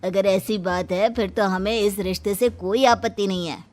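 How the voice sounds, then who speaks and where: A woman playing a middle-aged mother-in-law in charge of a big cartoon family, measured and authoritative. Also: mid-range voice, steady tone, darkish tone, soft background music, close to an everyday voice. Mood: agreeable. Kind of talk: Hindi cartoon dialogue.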